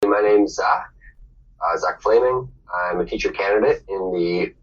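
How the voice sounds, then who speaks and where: A man's voice: deep voice, a little slow. A young Canadian man, a teacher candidate, introducing himself in an easygoing, rambling way over an online call. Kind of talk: casual self-introduction. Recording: husky, dull, distant online call.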